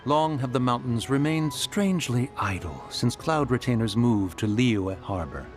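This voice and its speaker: A man's voice: mid-range voice, animated, moderate pace, faint music behind, natural voice. Man voicing a stately ancient mountain spirit. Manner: stately, archaic, sighing. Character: proud old male mountain adeptus in human form. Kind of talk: game voice acting.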